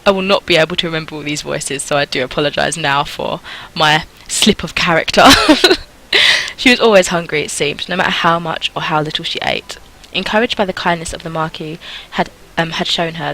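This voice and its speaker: A woman's voice: fairly low pitch, pushed and bright, low-quality recording. A chatty, self-deprecating woman reading a visual novel aloud with casual commentary. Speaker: gaming video host.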